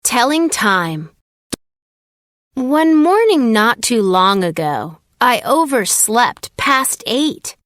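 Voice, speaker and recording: Animated, slow woman children's story narrator. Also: fairly high pitch, slight background noise. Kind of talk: rhyming children's read-aloud.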